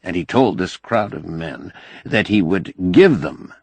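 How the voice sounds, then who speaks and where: An audiobook figure of a learned, crusty older man, acted by a male reader. The voice is low and gravelly with a dry, close sound. He argues like a sardonic lecturer, pedantic and wry. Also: expressive, a little slow, no echo, exaggerated voice. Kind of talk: audiobook character dialogue.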